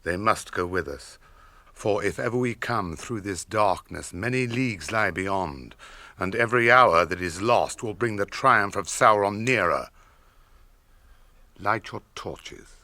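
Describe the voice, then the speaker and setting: A man's voice: lowish voice, unhurried, sharp tone, no room sound. An actor playing a stern, resolute noble ranger lord in a radio drama, speaking formally and declaiming his lines. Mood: commanding. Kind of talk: classic radio drama acting.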